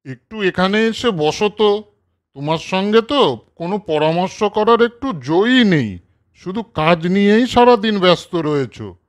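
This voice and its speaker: A man's voice: high-pitched, animated, a little slow. A man voicing a middle-aged father who coaxes and chides his wife in a storybook cartoon. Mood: coaxing. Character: middle-aged father, gently coaxing husband.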